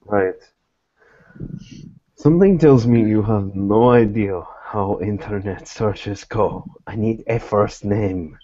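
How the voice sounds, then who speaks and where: A man plays a guarded private investigator in a tabletop game, questioning tersely. The voice is low and mellow, and the words come slowly and deliberately. The sound is dry and a little dull. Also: dry recording. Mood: probing, insistent. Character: wary, probing male private investigator.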